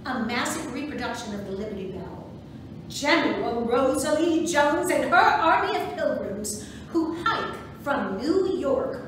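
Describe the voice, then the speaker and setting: A volunteer woman reader playing a proud, theatrical suffragist organizer in an amateur reader's theatre piece, with a declamatory, measured delivery. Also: sits high, expressive. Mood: proud, grand. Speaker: volunteer reader in a museum reading play. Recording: echoey, noisy room recorded from far off.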